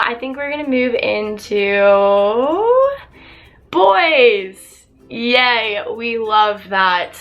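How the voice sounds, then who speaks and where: A young woman vlogger chatting openly to camera while answering viewer questions, her voice a little raspy from losing it. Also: mid-range voice, a bit bright. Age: young adult. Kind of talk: casual chat to camera.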